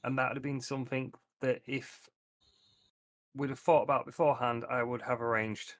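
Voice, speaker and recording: A man's voice: medium pitch, flat delivery, moderate pace, no echo. A man playing a tabletop roleplaying game, chatting casually in a blunt, sweary way. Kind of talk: casual group banter.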